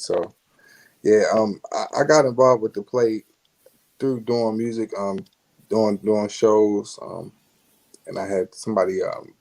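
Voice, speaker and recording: A man who is a musician and stage actor, introducing himself in a calm, hesitant way with many fillers over a video call. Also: fairly low pitch, creaky, rough recording. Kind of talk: casual interview talk.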